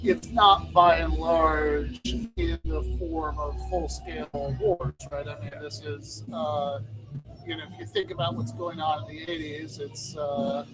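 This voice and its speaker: Higher-voiced halting man over loud music. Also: fairly even intonation, poor audio. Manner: halting, circles back and repeats phrases. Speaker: podcast host. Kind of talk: casual chat. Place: bar.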